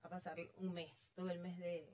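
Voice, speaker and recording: A woman's voice: lowish voice, level tone, fairly quick, a little mellow. A middle-aged woman with a Venezuelan accent, telling her story casually as an interview guest. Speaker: interview guest, real estate agent.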